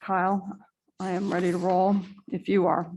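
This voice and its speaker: Lower-voiced woman chairing a board meeting. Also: level tone, darkish tone, a little hiss or hum, low-quality recording. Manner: measured, procedural, with some fillers. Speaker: school board president. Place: school board meeting room.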